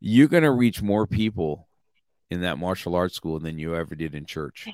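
Deep-voiced rambling host. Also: male voice, normal speed, darkish tone, dry recording. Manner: rambling, many restarts, chuckling. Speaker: podcast host. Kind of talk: casual interview chat.

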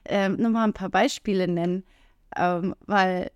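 A woman co-hosts a relaxed talk podcast, speaking in a frank, earthy way. She drops frequent filler sounds and now and then acts out quoted lines. The recording is dry and close, with no room echo. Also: medium pitch, measured pace, clean tone. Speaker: podcast co-host. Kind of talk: casual podcast conversation.

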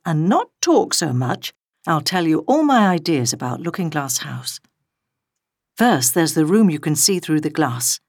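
A woman's voice: fairly low pitch, no room sound, clean studio sound. A woman reading as a chatty, imaginative little girl, wistful and eager, musing aloud in a children's storybook. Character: Alice, imaginative chatty little girl talking to her kitten.

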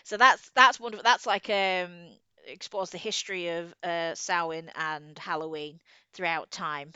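A woman's voice: on the low side, steady tone, a little slow, bright, forceful tone, clear, resonant tone, dry recording, lo-fi sound. A middle-aged woman chatting casually about books as a podcast co-host, enthusiastic and self-deprecating. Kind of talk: casual book chat.

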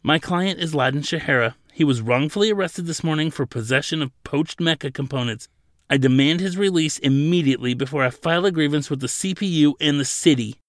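Man voicing a stern, raised-pitch female android counsel. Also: brisk, no echo, close to an everyday voice. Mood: demanding. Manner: authoritative, clipped, insistent.

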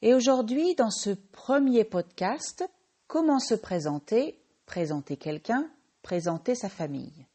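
A French woman who teaches French, hosting a slow, clear language-lesson podcast and patiently correcting her own small slips. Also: on the high side, expressive, dark tone, soft background music. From France.

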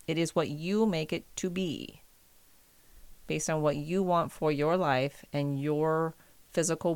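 Emphatic woman with a smooth voice. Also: sits low, no echo. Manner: emphatic, insistent. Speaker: podcast co-host. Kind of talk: casual chat.

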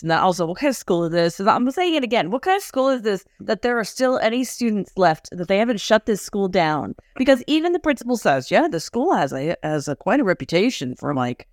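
A woman's voice: on the low side, sing-song, a little fast, few pauses, dry recording, studio quality. A woman co-hosting a casual podcast chat, talkative, laughing often and quoting herself in little asides. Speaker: podcast co-host.

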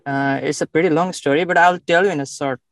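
A young man from Nepal, speaking in a casual interview, often pausing and searching for words with many fillers. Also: on the high side, fairly even intonation, fairly bright tone, dry recording.

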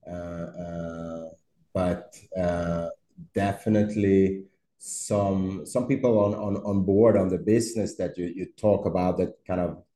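A man's voice: deep voice, steady tone, a little slow, warm tone, echoey room. A Swedish man, a startup CEO, answering interview questions with many fillers, restarts and easy laughs. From Sweden. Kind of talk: podcast interview conversation.

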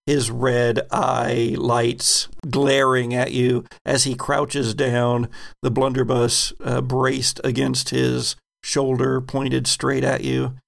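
An American man acting as game master, narrating a horror tabletop game in a suspenseful, deliberate way. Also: mid-range voice, monotone, vocal fry.

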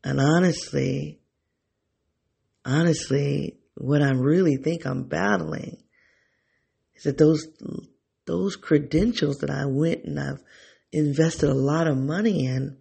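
A man's voice: on the high side, gravelly, darkish tone, slightly rough. A man thinking aloud in a reflective solo monologue, often rephrasing himself and talking straight to his listeners. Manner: talks directly to listeners, informal.

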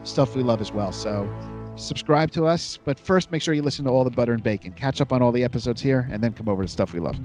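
A man's voice: medium pitch, quick pace, darkish tone, faint music behind. A man chatting casually as a podcast host. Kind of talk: casual chat.

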